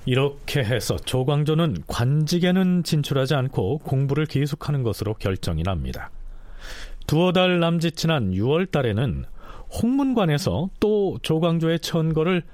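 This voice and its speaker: A man's voice: fairly high pitch, very animated, moderate pace, darkish tone, dry recording. A man narrating a history documentary in a scripted storytelling style.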